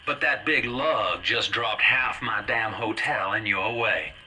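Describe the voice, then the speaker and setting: A slick, folksy hustler, drawls chummy wisecracks in colorful old-time slang. He comes through a clearly noisy radio-style transmission, as in a retro video game. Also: fairly low pitch, slow, bright, forceful tone, heavily acted. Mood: jovial, instructive. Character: folksy, slick fast-talking male hustler guiding the player.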